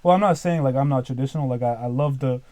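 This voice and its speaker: Mellow-voiced young man, rambling casually. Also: medium pitch, quick pace, no echo. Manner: rambling, leans heavily on "like" and "dude". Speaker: podcast guest, business owner. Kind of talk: casual podcast chat.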